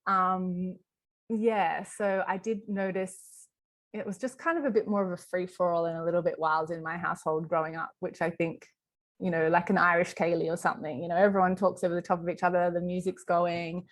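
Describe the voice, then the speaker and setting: Lower-pitched Australian woman chatting casually. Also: steady tone, brisk. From Western Sydney, Australia. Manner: chatty, informal, thinking aloud with frequent "like". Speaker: company executive being interviewed. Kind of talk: casual interview chat.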